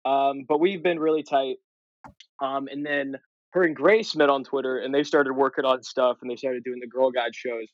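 A man's voice: mid-range voice, steady tone, normal speed, dry recording, muffled recording. A young man from Ohio, a comedian guest telling stories in casual podcast chat with lots of fillers and swearing. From Ohio, USA. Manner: rambling, many fillers, swearing.

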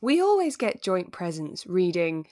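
Low-pitched woman, chatty and informal. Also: very animated, measured pace, a little mellow, no room sound, studio quality. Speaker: podcast co-host. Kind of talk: relaxed chat recapping a radio drama.

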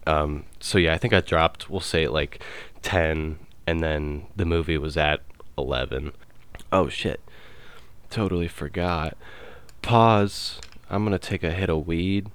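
A man's voice: extremely low pitch, a little slow, clear, resonant tone. A man hosting his own podcast, talking in a laid-back, rambling way with loose fillers. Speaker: podcast host. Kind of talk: casual solo chat.

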